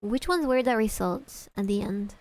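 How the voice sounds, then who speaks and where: A woman's voice: medium pitch, normal speed, darkish tone. A woman streamer chatting casually, profane and easily sidetracked, reacting to videos.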